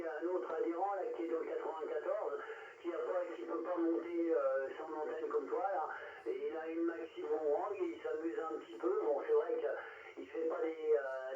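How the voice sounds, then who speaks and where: An older man chatting casually as a radio operator over a two-way radio transmission. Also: fairly high pitch. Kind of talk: casual radio contact chat. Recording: noisy muffled radio transmission, roomy and distant.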